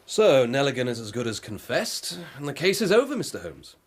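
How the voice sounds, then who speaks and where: Earnest, husky British police inspector. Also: male voice, medium pitch, big pitch swings, brisk. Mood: stubbornly confident. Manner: earnest, insistent. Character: eager, stubbornly confident male police inspector. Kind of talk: radio drama acting.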